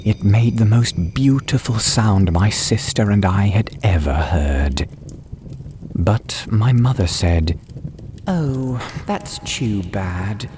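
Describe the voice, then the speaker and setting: A man reads a story as a dramatic audiobook narrator. His voice sits low and his delivery is slow and measured. Music runs behind him throughout. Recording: background music under the voice. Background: background music and soundscape.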